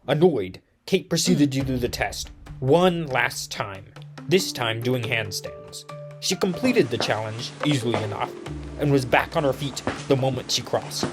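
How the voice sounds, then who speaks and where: A man's voice: medium pitch, expressive, unhurried. A man giving scripted storybook narration as a narrator in an audio drama. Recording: music under narration.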